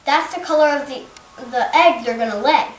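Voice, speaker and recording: Brief: high-voiced female student, slow and thoughtful, echoey room. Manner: thoughtful, hesitant with fillers. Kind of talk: casual classroom discussion. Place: home.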